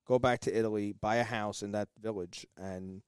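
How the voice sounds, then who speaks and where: A man's voice: on the low side, steady tone, normal speed, darkish tone, clean tone, no room sound, clean studio sound. A man co-hosting a podcast, talking emphatically and giving opinionated advice in casual conversation. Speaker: podcast co-host.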